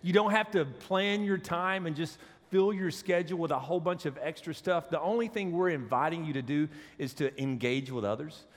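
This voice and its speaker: An associate pastor with a cold, his voice deeper than usual, preaching a sermon with jokes and asides to the congregation. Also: normal speed, hardly any pauses. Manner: joking, conversational preaching with audience asides. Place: church sanctuary. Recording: long hall reverb.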